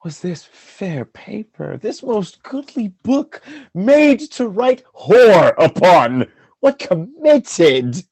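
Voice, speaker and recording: An actor playing a jealous, tormented lord and husband in a Shakespearean radio play, theatrical and volatile, with a husky voice. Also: male voice, sits high, big pitch swings, takes it slowly, no echo, full character voice. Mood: scornful, tormented. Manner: theatrical, volatile, swinging between quiet and fury.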